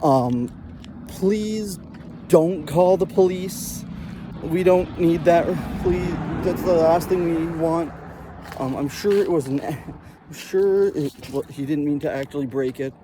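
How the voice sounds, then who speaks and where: A man's voice: a little high, slow pace, background noise. A man voicing an exasperated mother in a comic anecdote, speaking emphatically. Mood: pleading. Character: exasperated mother, pleading then sternly threatening.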